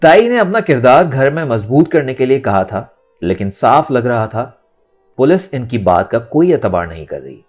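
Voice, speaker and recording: A man narrating a novel in a dramatic, expressive storytelling style. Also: medium pitch, moderate pace, soft background music. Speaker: audiobook narrator.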